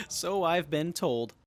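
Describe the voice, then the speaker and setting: A man playing an earnest, literal-minded young soldier in an audio drama, speaking in a deadpan way. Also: fairly high pitch, heavy background noise.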